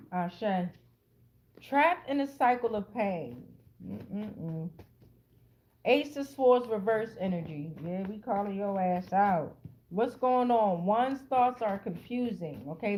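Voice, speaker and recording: A woman's voice: mid-range voice, a little mellow, low-quality recording. An American woman giving a casual, improvised tarot reading to an online audience, blunt and streetwise, swearing freely and repeating phrases for emphasis. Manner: profanity-laced, streetwise, blunt. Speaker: tarot card reader, channel host.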